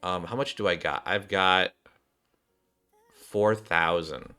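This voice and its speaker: A man's voice: low-pitched, slow pace, pushed and bright. A man giving casual, rambling gameplay commentary as a streamer, half muttering to himself and his viewers. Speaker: game streamer. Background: game music.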